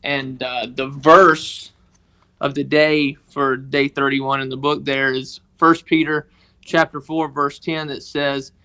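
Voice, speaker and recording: Man, Mississippi Southern accent, fairly even intonation, some creak, earnest halting podcast storytelling. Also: mid-range voice. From northeast Mississippi, United States. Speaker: podcast guest, organization member telling his story.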